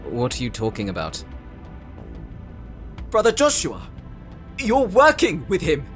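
A man's voice: on the high side, huge pitch swings, a little slow, a bit bright, faint music behind, heavily acted. A man playing a stubborn, guarded warrior smith in an audio roleplay drama, terse and earnestly indignant. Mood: alarmed, indignant. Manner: terse, defensive.

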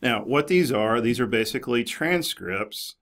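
A man's voice: mid-range voice, animated, a little slow, fairly bright tone. A man in his forties giving a casual, conversational screencast tutorial as a librarian presenter, explaining informally. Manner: conversational, informal, hedging with "basically" and "kinda". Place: small office, indoors.

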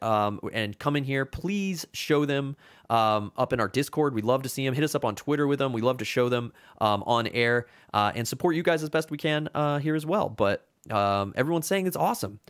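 Excitable man co-hosting a game stream. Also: mid-range voice, fairly quick. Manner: excitable, chatty, laughs often. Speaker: co-host of a board game stream. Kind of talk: casual live-stream chat. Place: home room. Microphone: webcam video call.

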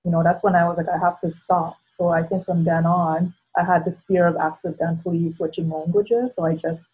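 A woman's voice: on the low side, fairly even intonation, a little fast, breathy edge. A woman in her thirties, born in the Philippines with Tagalog as her first language, sharing her story reflectively on a video call from home, with many ums and likes. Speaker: panel guest. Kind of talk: casual personal storytelling. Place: home living room. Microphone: laptop webcam on a video call. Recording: tinny video call audio.

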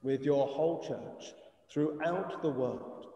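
A middle-aged English man recites a communion prayer as a priest, solemn and deliberate. His voice is fairly high and mellow. It rings through a heavily echoing church. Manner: solemn, measured, deliberate phrasing. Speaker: priest leading communion service. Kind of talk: liturgical recitation of a eucharistic prayer. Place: inside a church, streamed over video call. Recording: echoey church, distant mic, muffled video-call sound.

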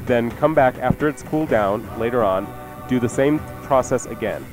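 A man's voice: mid-range voice, normal speed, over music. A man giving casual, emphatic cooking advice as a radio show's chef and host. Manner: emphatic, matter-of-fact instruction. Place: radio studio.

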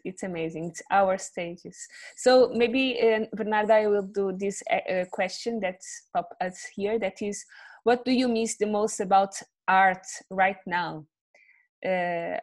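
A woman's voice: mid-range voice, darkish tone. A woman, hosting a video call discussion from home, hesitant with many fillers and laughs. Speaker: event host. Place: home room with bookshelves. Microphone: earphone mic on a video call.

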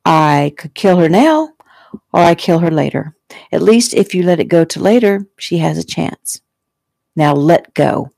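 A woman's voice: lowish voice, lively intonation, a little slow, creaky, darkish tone, dry recording, studio quality, heavily acted. A woman voicing a cold, menacing male kidnapper in an audiobook, taunting and threatening. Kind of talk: audiobook character acting.

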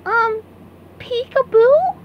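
A woman voicing an excitable, naive young male sea sponge in an amateur cartoon comic dub. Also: very high-pitched, drawn-out words, highs cut off, heavy background noise, pitched above the performer's own voice, full character voice. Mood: playful.

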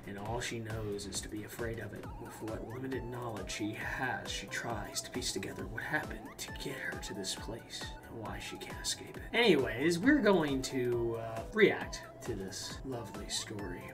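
Young guy doing chill reaction commentary, music in the background. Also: mid-range voice. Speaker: reaction video host.

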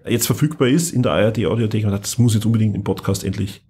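A man's voice: fairly low pitch, fast talker, mellow, husky. A man hosting a podcast, chatting casually and enthusiastically with many restarts. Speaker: podcast host. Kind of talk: casual chat.

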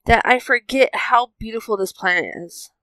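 A woman's voice: fairly high pitch, moderate pace, pushed and bright, no echo, low-quality recording. A woman playing a weary, wistful young-hero ranger in an audio drama. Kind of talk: audio drama character acting.

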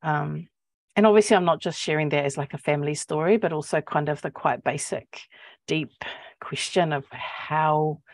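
A woman with a New Zealand accent speaks in a low register, with very little room sound. She is reflective and academic in style, often stopping and restarting as she works out a thought. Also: dry recording. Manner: thoughtful, halting, searching for words. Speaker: poet and academic. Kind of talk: reflective panel talk. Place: book-lined office, indoors. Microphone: earphone mic on video call.

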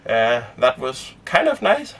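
A young man who grew up speaking Danish, chatting casually to camera inside a small sailboat, relaxed and rambling with lots of fillers. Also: medium pitch, takes it slowly, gravelly, recorded from a distance. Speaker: sailing vlogger. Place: inside a small sailboat cabin and cockpit tent.

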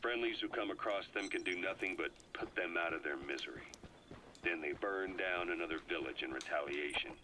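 A man voicing a grave veteran spy who delivers a deliberate, weighty scripted briefing in a video game. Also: low voice, bright, forceful tone, a little hiss or hum. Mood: grave, measured. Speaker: intelligence briefer on an audio tape. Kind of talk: dramatic scripted briefing narration. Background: horse hooves and game ambience.

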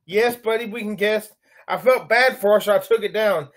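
A man's voice: high voice, normal speed. A middle-aged man from the United States, chatting on a live broadcast in his bedroom and thanking viewers as he goes. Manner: chatty, thanks viewers for likes between remarks. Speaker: livestream host. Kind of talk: casual live broadcast chat. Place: bedroom at night, indoors.

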